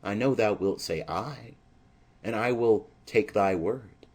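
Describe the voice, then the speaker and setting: Man playing a smitten, anxious young maiden, soft-edged husky voice. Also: fairly low pitch. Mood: tender, earnest. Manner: earnest, measured Shakespearean verse delivery.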